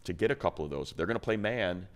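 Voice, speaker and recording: A man's voice: low voice, expressive, rapid-fire. A man giving casual, conversational football analysis on a sports talk show, explaining things in slangy language.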